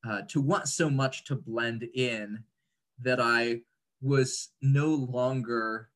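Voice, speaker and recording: A man in his thirties with a mid-pitched voice speaks as an interview guest. He is reflective and articulate. He often pauses partway through a sentence to choose the right word. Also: steady tone, fairly bright tone. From Indonesia, grew up there as an American third culture kid. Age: 30s.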